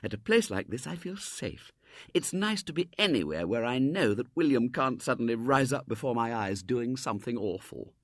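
A man as a genteel mother, high-voiced. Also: big pitch swings, normal speed, no room sound, clean studio sound. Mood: anxious, relieved. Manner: vague, polite. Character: genteel, anxious middle-aged mother making polite society chat. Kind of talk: storybook character voice in narration.

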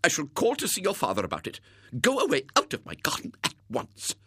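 A man's voice: fairly high pitch, wildly swooping pitch, fairly quick, breathy edge, dry recording, studio quality, pitched above the performer's own voice, full character voice. A man voicing a severe, prim and gullible woman in a storybook, with a proper manner. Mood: stern, indignant. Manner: grim and sharp, softening to gracious.

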